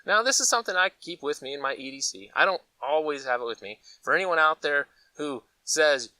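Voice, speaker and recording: Animated American man with a bright, forceful voice. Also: a little high, a little fast, no echo, far from the mic, rough recording. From Colorado, United States. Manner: animated, folksy, conversational storytelling with acted-out asides. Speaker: host of a preparedness gear channel. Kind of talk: casual rambling vlog chat.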